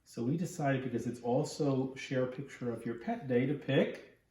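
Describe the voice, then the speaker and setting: A man's voice: medium pitch, moderate pace, dark tone. A man giving friendly, upbeat school announcements in a casual style, recorded from a distance in a room at home. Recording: roomy, distant mic, faint noise.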